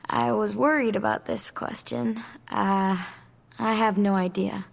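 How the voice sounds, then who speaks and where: Game role: young female mutant outcast, candid and guarded, creaky yet clean-edged without being polished. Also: mid-range voice, fairly even intonation, slow pace. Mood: uncertain. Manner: casual, candid, hesitant. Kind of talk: video game dialogue acting.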